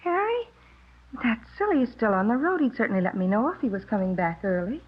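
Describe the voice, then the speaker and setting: A woman's voice: a little high, very animated, fairly quick, breathy edge. An actress playing a loyal, anxious young wife and mother in a 1950s radio drama, speaking politely and with earnest hesitation. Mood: pleading, distressed. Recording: dull, muffled old radio recording.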